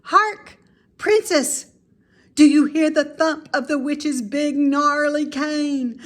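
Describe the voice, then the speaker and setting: A woman voicing a cheerful, playful grown woman from a storybook, with animated, emphatic delivery that savours the words. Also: high voice, slow pace, pitched above the performer's own voice. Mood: urgent, playful. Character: cheerful, playful grown woman who delights in housework make-believe.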